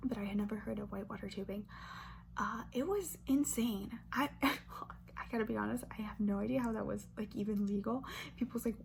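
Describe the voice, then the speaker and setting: A woman's voice: medium pitch, fairly quick, gravelly. Whispering young woman, close mic, humming noise. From Brazil. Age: 20s. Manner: soft whispering, echoing words over and over as triggers; chatty, filler-heavy, with light laughs. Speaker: ASMR creator. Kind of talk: whispered ASMR Q&A. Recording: noisy hum under voice.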